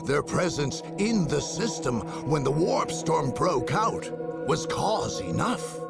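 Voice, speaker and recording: An actor playing a grave, grandiose male war chronicler, narrating a game story in a theatrical, deliberate way. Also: on the high side, big pitch swings, gravelly, loud music behind the voice, full character voice. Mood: grave, righteous. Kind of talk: dramatic scripted game narration.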